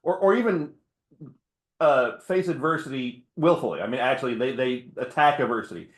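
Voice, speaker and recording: A man's voice: medium pitch, animated, moderate pace, reverberant. A man hosting an interview, chatty, laughing often and restarting his sentences. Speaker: podcast host. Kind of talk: interview conversation.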